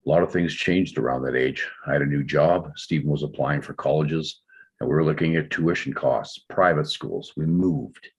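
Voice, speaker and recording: A man playing a reserved, defensive older father in a drama table read, explaining himself in a measured way. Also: extremely low pitch, slightly rough, heavily acted. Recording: dull, slightly muffled microphone sound.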